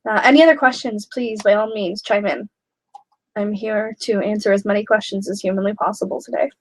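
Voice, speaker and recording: A young woman hosting a live question-and-answer chat, talking candidly with many pauses and fillers, a little snuffly from a flu. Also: medium pitch, fairly even intonation, no echo, low-quality recording. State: snuffly, getting over a flu. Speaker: host of a live question stream.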